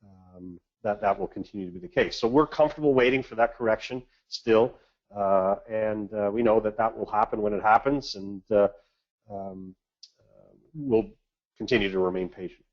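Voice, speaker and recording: Low-voiced man on dull conference-call audio. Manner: halting, hesitant delivery with measured, businesslike phrasing. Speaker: investment manager presenting market commentary. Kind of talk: prepared market briefing on a conference call. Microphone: conference call line.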